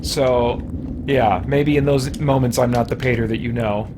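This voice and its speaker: A man's voice: steady tone, moderate pace. Man chatting on a livestream over background noise. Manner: rambling, thinking aloud. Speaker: livestream host. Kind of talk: casual livestream chat while gaming. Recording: noisy background rumble.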